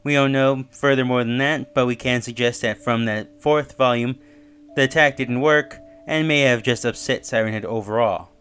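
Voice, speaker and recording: A man's voice: medium pitch, normal speed, a bit bright, smooth, faint music behind. A man hosting his own channel, casually recapping and reviewing a horror web series, talking loosely with fillers and self-corrections.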